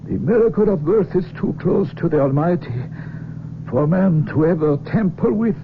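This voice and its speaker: An actor playing an earnest, courtly, pious foreign doctor in a 1970s radio drama, speaking with deliberate emotion. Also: male voice, expressive, vocal fry. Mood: wistful, reverent. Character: earnest, self-sacrificing foreign doctor, a secret father. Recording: muffled old radio broadcast, rumbling noise.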